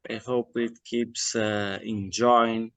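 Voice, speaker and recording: A man interviewing an author, speaking slowly and earnestly and addressing the viewers directly. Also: on the low side, flat delivery, a bit bright, dry recording. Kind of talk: interview questions.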